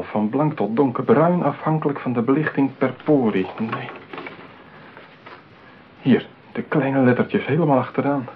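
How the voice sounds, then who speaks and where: A man's voice: mid-range voice, lightly acted. An actor in a radio play playing a lonely, possessive man besotted with his mechanical bride, talking theatrically. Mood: anxious reading. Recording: tinny narrow-band radio play, clear hiss.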